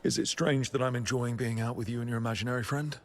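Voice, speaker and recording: An actor playing a calm, curious, gently teasing adventurer leader in a video game, with a conversational, questioning manner. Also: male voice, medium pitch, quick pace, close to an everyday voice. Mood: lightly teasing.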